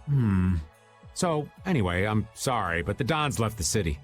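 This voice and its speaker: A man's voice: lowish voice, animated, normal speed, natural voice. An actor playing a laid-back, wily older man in a video game, talking in a casual, folksy, theatrical way. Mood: sheepish, casual. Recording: music under the voice. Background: game background music.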